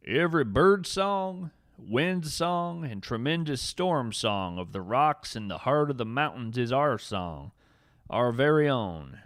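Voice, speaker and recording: Animated, dramatic naturalist storyteller played by a man. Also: mid-range voice, dry recording, clean studio sound. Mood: reverent. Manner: dramatic, wryly whimsical, literary phrasing. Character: earnest folksy cryptid naturalist, adult man, wryly awed. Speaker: podcast host and narrator. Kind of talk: scripted first-person fiction narration.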